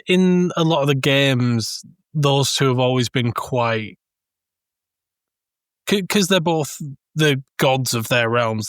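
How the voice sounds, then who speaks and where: Mid-pitched man in halting casual debate. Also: dry recording, studio quality. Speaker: podcast co-host. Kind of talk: casual film discussion.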